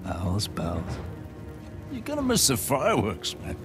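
A man's voice: on the low side, huge pitch swings, measured pace, loud music behind the voice. A man playing a smug, mocking middle-aged mercenary villain in a video game. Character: smug, taunting battered male mercenary villain. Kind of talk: cinematic video game acting.

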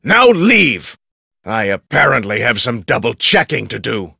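An actor playing an arrogant, irritable research scientist in a video game, with pompous, formal and brusque speech. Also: male voice, medium pitch, big pitch swings, measured pace, vocal fry, bright, forceful tone, slightly rough, no echo, studio quality, exaggerated voice. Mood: haughty. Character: irritable, arrogant, self-important male research scientist with explosive temper.

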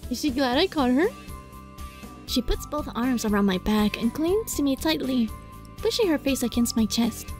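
A woman reading a visual novel aloud as a let's play, dramatic and playful, in a giggly, flustered, excitable manner. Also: high voice, normal speed. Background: music.

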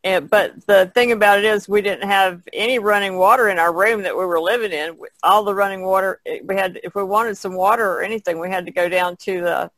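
A woman's voice: mid-range voice, steady tone, a little fast, hardly any pauses, fairly bright tone, no room sound, low-quality recording. A woman co-hosting a podcast in casual, reflective chat, plainspoken and restating her points.

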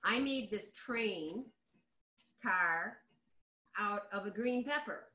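A woman's voice: medium pitch, animated, slow pace, pushed and bright. A middle-aged woman giving an informal, conversational cooking demonstration over a video call. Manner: conversational, explanatory. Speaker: cooking demo presenter. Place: home kitchen, indoors. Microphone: video call, device across the counter. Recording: roomy, echoey video-call sound.

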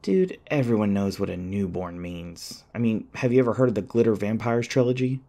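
A man playing an anxious, sarcastic young college student in an audio roleplay, rambling and halting in a wry, flustered way. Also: fairly low pitch, animated, vocal fry, warm tone. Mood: curious, wry. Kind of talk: audio roleplay acting, one-sided dialogue.

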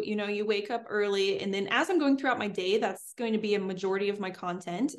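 Young woman chatting as interview guest. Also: medium pitch. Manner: upbeat, fluent, chatty. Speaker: guest, homesteader and streamer. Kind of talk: casual interview answers. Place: indoors in front of a green screen.

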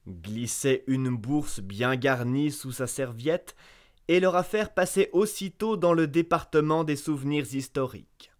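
A man reading a fairy tale aloud as a storyteller, with expressive narration that lifts sharply on key words. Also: mid-range voice.

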